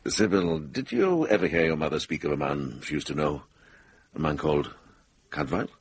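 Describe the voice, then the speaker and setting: An actor playing a shrewd, brisk middle-aged monk investigator in a radio drama, measured and direct. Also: male voice, rumbling low, expressive, husky, no room sound, full character voice. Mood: earnest, urgent. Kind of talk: British radio drama acting.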